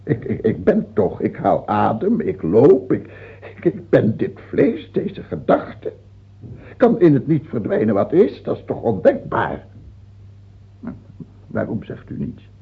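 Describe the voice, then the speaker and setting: An actor playing a dying, tyrannical king of France in a radio drama, fearful and halting, then imperious. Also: medium pitch, wildly swooping pitch, gravelly, slightly rough, heavily acted. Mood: agitated, doubting. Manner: halting and stammering, turning imperious. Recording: muffled, tinny old radio-play recording.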